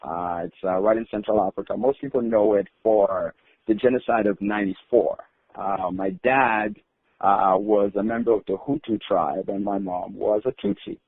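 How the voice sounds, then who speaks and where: A man's voice: lowish voice, moderate pace, husky, no echo. A man from Rwanda, a podcast guest telling his life story with many hesitant fillers and restarts. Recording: tinny phone-like line.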